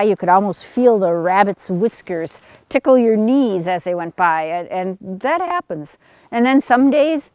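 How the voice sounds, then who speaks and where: A woman's voice: on the low side, a little mellow, no room sound. A middle-aged woman chatting plainly about her hunting dogs outdoors in snowy woods, with lots of uhs, recorded on a camera mic. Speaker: beagle owner. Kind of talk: casual outdoor interview.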